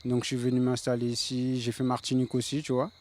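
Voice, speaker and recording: A man's voice: medium pitch, monotone, fast talker, darkish tone. A man in his late thirties from the Paris suburbs, a reggae singer, talking in a laid-back way with many fillers. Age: 30s. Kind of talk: casual interview.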